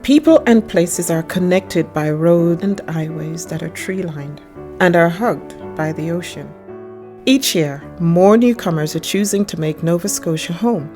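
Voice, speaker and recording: A woman's voice: on the low side, a little slow, dark tone, faint music behind. A woman reading a scripted narration.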